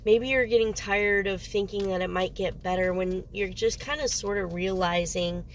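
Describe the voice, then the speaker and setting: A woman's voice: medium pitch, fairly even intonation, normal speed, slight background noise. A woman doing a casual tarot reading, sniffly with a cold, rambling and often stopping to search for words. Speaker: tarot reader.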